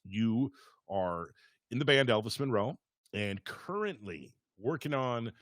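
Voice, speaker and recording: A man hosting a podcast in a casual, chatty interview style, upbeat, informal and excitable. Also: medium pitch, unhurried, dry recording, studio quality. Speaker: podcast host.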